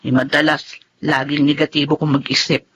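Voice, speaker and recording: Forceful, resolute Filipina wife. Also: female voice, low-pitched, little pitch movement, normal speed, slightly rough, lo-fi sound. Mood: concerned. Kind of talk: radio drama acting.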